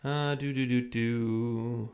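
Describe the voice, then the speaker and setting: Man, gravelly voice, chatty and rambling, stream host, close studio mic, no pop filter. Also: medium pitch, fairly even intonation, slow, darkish tone. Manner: rambling, self-interrupting, chatty. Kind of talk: casual live-stream chat. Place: room in Germany. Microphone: studio microphone without pop filter.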